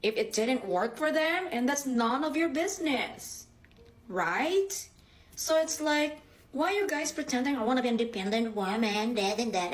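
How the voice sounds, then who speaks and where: A Filipino woman with a Filipino accent, English not her first language, arguing with exasperated rhetorical questions. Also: fairly high pitch. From Philippines. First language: a Philippine language. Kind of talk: ranting video reply.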